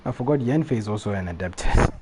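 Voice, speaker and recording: A man's voice: fairly low pitch, lively intonation, quick pace, over music. A man doing casual live game commentary, thinking aloud as he reacts to the story. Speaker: game livestreamer. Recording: faint noise and game ambience behind. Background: video game audio.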